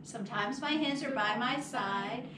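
A woman's voice: fairly high pitch, a little slow, sharp tone. A woman presenting storytime, speaking expressively to young children as she leads rhymes and introduces books. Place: small children's classroom indoors. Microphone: distant camera microphone. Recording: echoey, noisy room, far from mic.

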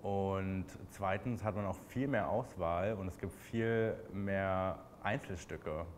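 A man in his twenties with a Berlin accent, answering interview questions in a relaxed, chatty way with many fillers. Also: low voice, slow pace. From Berlin, Germany.

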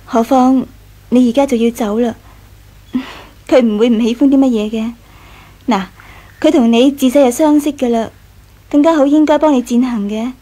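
Actress as high, mellow young Hong Kong wife. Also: female voice, steady tone, moderate pace, pauses often, close to an everyday voice. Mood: earnest, friendly. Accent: Hong Kong Cantonese. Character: gracious young married lady of a rich household, gentle, composed. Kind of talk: 1980s Cantonese radio drama acting.

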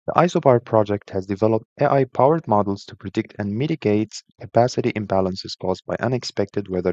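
A man with a lowish voice narrates an explainer as a presenter. His delivery is formal and measured, clearly working from a script. The recording is very dry and close, with a somewhat dull, lo-fi tone. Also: a little mellow, no room sound.

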